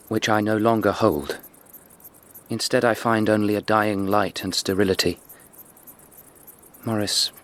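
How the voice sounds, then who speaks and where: A man's voice: on the low side, lightly acted. An actor playing a troubled, frank young upper-class Englishman in a radio drama, with an English accent.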